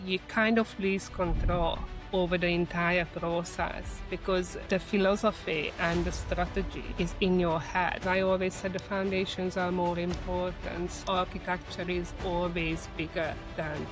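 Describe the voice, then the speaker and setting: A woman's voice: on the low side, level tone, measured pace, hardly any pauses, over music. A middle-aged woman with a Hungarian accent, speaking conversationally as an interview guest about herself and her work. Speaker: interview guest, marketing executive. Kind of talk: conversational interview, telling career story and advice.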